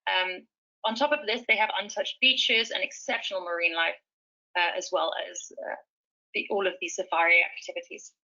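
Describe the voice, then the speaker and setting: Woman talking through travel stuff, bright voice, lots of ums, kinda muffled lo-fi audio. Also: on the low side, fairly even intonation, normal speed. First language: speaks fluent Swahili. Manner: enthusiastic, many fillers and restarts. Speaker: safari travel presenter. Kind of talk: webinar presentation.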